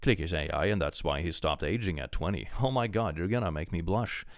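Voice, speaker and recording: A young man streaming live with a powerful voice, reading posts aloud and chatting casually, often jumping into excited exclamations. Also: brisk, no echo, clean studio sound. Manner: animated, jumps into high excited exclamations.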